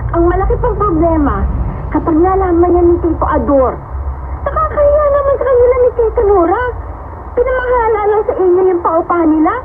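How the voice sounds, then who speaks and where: An actress playing a worried young daughter, pleading and reproachful yet respectful, in a Filipino radio drama. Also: female voice, very high voice, animated, brisk. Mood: anxious, pleading. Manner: plaintive, reproachful but respectful. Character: worried, pleading daughter scolding her reckless mother. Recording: tinny phone-like sound with clear noise.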